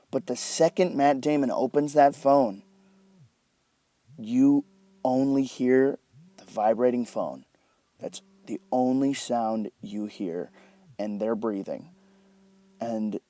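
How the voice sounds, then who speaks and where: A man's voice: medium pitch, mellow, no echo. A man giving enthusiastic film scene commentary as a host, with emphatic, dramatic phrasing.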